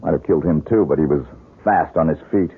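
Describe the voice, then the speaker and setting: A troubled young man, brooding and bitter, in an old radio mystery. His low, very husky voice moves in dramatic, halting swings on a muffled lo-fi recording. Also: exaggerated voice. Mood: anguished.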